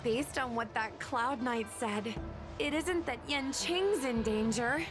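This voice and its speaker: A measured wary game girl, high voice, takes her time, music in back. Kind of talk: dramatic video game dialogue.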